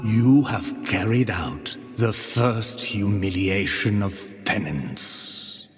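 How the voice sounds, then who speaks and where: An actor playing a solemn, ceremonial guardian of a sacred door in a fantasy game, speaking gravely with a husky voice. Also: male voice, on the low side, lively intonation, takes it slowly, soft background music, heavily acted. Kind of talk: scripted fantasy game dialogue. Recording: long cavernous reverb.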